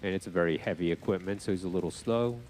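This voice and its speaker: A man giving live gameplay demonstration commentary to an audience, explaining informally and a little haltingly. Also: sits low, a little mellow, slight background noise.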